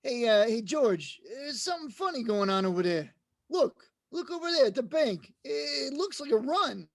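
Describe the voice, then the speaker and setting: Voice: high-pitched, expressive, normal speed, creaky, dry recording, clean studio sound. A performer playing a folksy, nosy small-town male taxi driver in 1940s radio drama style. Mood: alarmed.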